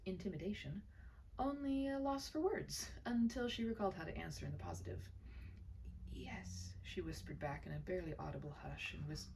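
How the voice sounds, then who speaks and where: Woman doing expressive story narration, voice swoops around, kinda creaky, bit far from the mic w background noise. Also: fairly low pitch. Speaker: storyteller reading own short story.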